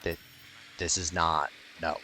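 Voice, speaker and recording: A man's voice: low voice, flat delivery, slow pace, pushed and bright, soft background music. A man giving casual, rambling playthrough commentary as a gaming YouTuber, jokey and sweary.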